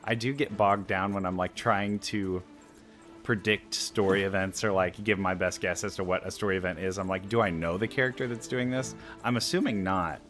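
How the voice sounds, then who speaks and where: A man's voice: low voice, steady tone, moderate pace, fairly bright tone, soft background music. A man giving casual, rambling let's play commentary, musing aloud as he reacts.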